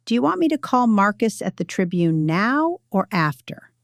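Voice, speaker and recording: Kadın anlatıcıdan açık sözlü, hareketli kadın dost. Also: female voice, medium pitch, very animated, moderate pace, vocal fry, no room sound, clean studio sound. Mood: wry, impressed. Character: supportive, slightly awed woman friend and accomplice.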